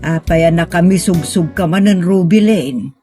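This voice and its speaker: A woman's voice: fairly low pitch, normal speed, over music, lightly acted. A woman playing a patient, middle-aged mother, earnest and consoling, in a sentimental audio drama. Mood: mildly chiding.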